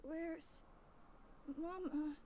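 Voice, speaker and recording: A woman's voice: very high voice, steady tone, very slow, warm tone, slight background noise, exaggerated voice. A woman voicing an innocent, easily frightened young boy in a video game, hesitant, childlike and plaintive. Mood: drowsy, innocent. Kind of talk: dramatic video game voice acting. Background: background music.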